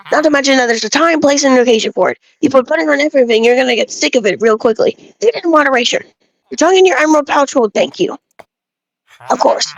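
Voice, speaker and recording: A woman's voice: sits high, low-quality recording. A woman reading a scripted monologue aloud as an amateur performance. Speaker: amateur reader of a game character's dialogue. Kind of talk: dramatic read-aloud of scripted monologue with casual asides.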